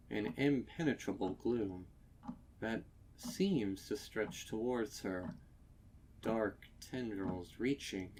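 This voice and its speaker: A man reading a story as narrator in a dramatic, measured and suspenseful manner. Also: lowish voice, slight background noise, low-quality recording.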